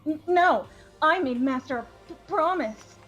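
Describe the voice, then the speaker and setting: A woman's voice: high-pitched, animated, a little slow, fairly bright tone, dull audio, faint music behind. A woman voicing a devoted, volatile young woman in an amateur playthrough of a visual novel game. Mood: nervous, defensive. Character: timid, stammering, devoted young woman with a brash sadistic other self.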